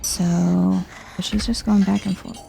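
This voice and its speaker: A soft-spoken woman giving chill, half-whispered ASMR commentary on a video game, thinking aloud as she plays. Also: medium pitch, little pitch movement, unhurried, gravelly, poor audio.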